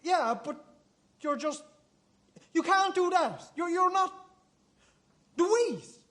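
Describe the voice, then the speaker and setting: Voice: extremely high pitch, lively intonation, takes it slowly, a bit bright, full character voice. An actor playing an aggressive, foul-mouthed, volatile accuser in a radio play, confrontational and repetitive. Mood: flustered.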